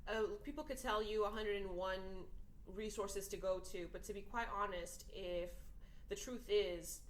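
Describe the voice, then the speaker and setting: A woman's voice: medium pitch, moderate pace. A woman from Portugal talking earnestly in a casual conversation, building long emphatic arguments. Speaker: podcast host. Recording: echoey room with faint noise.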